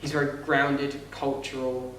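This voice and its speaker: A young man with a light voice, higher than most men's, chatting on a podcast in a rambling, halting way. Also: little pitch movement, a bit bright, slight background noise. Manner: rambling, halting, with frequent restarts. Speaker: podcast co-host, actor. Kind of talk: casual chat. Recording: echoey room, mic a bit far off.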